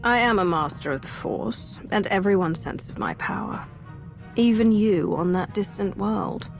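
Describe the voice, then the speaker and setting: A woman's voice: medium pitch, lively intonation, a little slow, vocal fry, soft background music. A woman playing an arrogant Sith sorceress in a video game, proud and threatening in her delivery. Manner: haughty, threatening. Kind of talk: scripted video game dialogue.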